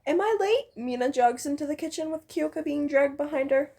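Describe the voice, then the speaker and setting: Young woman w a high crackly voice reading a fic out loud, playful, acts out the lines. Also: animated, fairly quick, creaky. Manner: playful, acts out dialogue with exclamations. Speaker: amateur fan fiction reader and co-host. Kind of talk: casual, animated read-aloud of fan fiction with banter.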